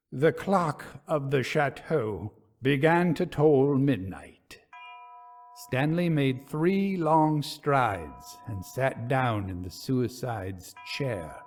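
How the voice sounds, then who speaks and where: A man narrating a gothic ghost story in a theatrical, suspenseful, measured manner. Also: mid-range voice, darkish tone.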